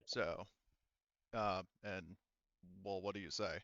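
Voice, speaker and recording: A man working as game master, narrating and refereeing a tabletop roleplaying game in a halting, informal, conversational way. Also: low-pitched, steady tone, fairly bright tone, dry recording, highs cut off.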